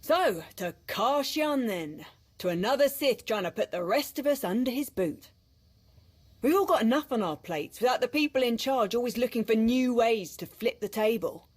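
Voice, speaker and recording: A woman's voice: a little high, animated, moderate pace, husky. A female soldier in a sci-fi game, worn down and sardonic. She grumbles wryly and informally, like an officer who has seen too much of her superiors. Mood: bitter, weary. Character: weary, sardonic female Imperial military officer. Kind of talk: video game cutscene acting.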